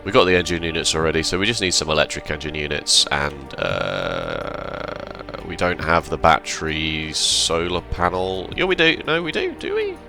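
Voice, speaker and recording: A man giving relaxed, rambling commentary over his gameplay, sounding slightly hoarse and correcting himself as he goes. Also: a bass voice, normal speed, music in the background. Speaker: let's play host.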